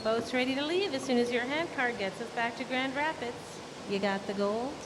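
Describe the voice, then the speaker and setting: Shrewd scheming dame for vintage radio play played by a woman, higher-pitched, noisy live recording with long reverb. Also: moderate pace, natural voice. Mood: wry, satisfied. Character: shrewd, scheming woman double-crossing her crooked lover. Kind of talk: old-time radio drama stage acting.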